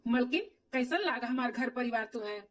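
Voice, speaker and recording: Woman voicing an earnest high-voiced Awadhi stepson. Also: fairly quick, recorded from a distance, natural voice. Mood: earnest instructing. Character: earnest, dutiful adult stepson and new husband. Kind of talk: amateur cartoon dialogue.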